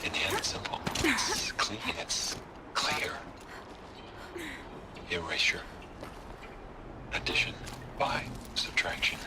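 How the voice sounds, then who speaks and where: A man's voice: on the high side, wildly swooping pitch, takes it slowly, pauses often, heavy background noise, exaggerated voice. An actor playing a bitter, unhinged, obsessive man in a video game, muttering intensely in fragments. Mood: obsessive, hushed. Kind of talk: dramatic video game acting.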